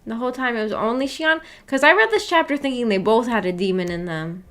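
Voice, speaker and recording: High-voiced woman streamer, giggly and rambling. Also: animated, fast, a bit bright. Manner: giggly, laughs often while talking; rambling, self-questioning, puzzled. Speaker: streamer hosting a visual novel book club. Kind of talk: casual livestream chat reacting to a story.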